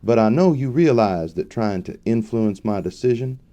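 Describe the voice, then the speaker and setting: A man's voice: fairly low pitch, animated, normal speed, dark tone, highs cut off, lightly acted. A man playing a stiff, uneasy older judge in an audio drama, formal and reserved. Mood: guarded.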